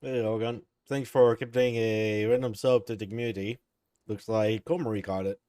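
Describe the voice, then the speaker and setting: A man streaming from home, sounding sleepy and relaxed as he chats casually with viewers and chuckles often. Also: lowish voice, moderate pace, no room sound, clean studio sound. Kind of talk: casual live-stream chat.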